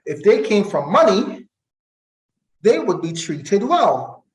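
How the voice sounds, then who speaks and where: A middle-aged man from Barbados, explaining his views deliberately as an interview guest and repeating phrases for emphasis. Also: high voice, big pitch swings. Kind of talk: reflective conversational interview. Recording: echoey room.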